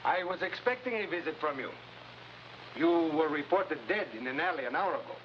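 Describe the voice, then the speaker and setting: An actor playing a courteous, grave police lieutenant, speaking formally and politely in a 1950s-style television crime drama. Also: male voice, a little high, expressive, brisk, close to an everyday voice. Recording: dull, noisy old TV soundtrack.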